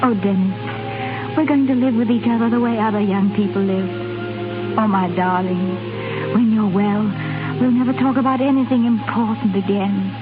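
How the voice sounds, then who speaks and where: A woman's voice: mid-range voice, steady tone, moderate pace, natural voice. An actress in a 1930s radio melodrama playing a devoted young woman who earnestly soothes her sweetheart. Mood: tender, reassuring. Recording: dull 1930s radio, loud music behind.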